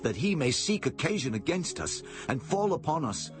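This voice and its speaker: Animated, anxious biblical brother. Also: male voice, fairly high pitch, moderate pace. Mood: fearful. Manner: animated, formal, anxious explaining. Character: anxious Israelite brother, deferential and defensive. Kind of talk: dramatized scripture acting. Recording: music behind the voice.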